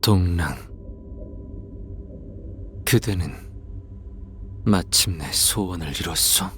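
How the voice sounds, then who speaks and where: A man's voice: sits low, slow pace, fairly bright tone, a little hiss or hum. An actor playing a weary, desolate man who speaks in solemn, archaic, literary phrases for a dramatic game. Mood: resigned.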